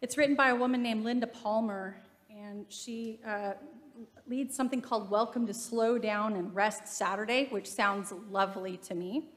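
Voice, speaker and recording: A woman's voice: mid-range voice, normal speed. A middle-aged woman pastor, preaching a sermon from the pulpit in a conversational storytelling way. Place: church sanctuary. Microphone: pulpit microphone. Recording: long reverb from a church sanctuary.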